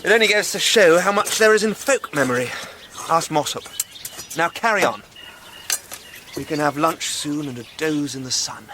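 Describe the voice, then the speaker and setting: Eager English digger played by a man, lightish high pitch, old-boy chumminess, radio drama scene with noticeable background noise. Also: moderate pace, lightly acted. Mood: enthusiastic. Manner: chummy, old-fashioned, enthusiastic. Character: chummy, eager English amateur archaeologist, jovial and teasing. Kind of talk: naturalistic radio drama acting.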